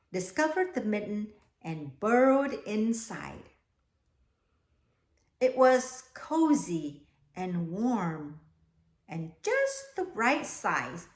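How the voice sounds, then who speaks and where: A woman reading a children's picture book aloud expressively, often pausing to ask her young listeners questions. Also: a little high. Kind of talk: children's read-aloud, alternating slow reading with asides about the pictures. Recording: echoey, roomy sound.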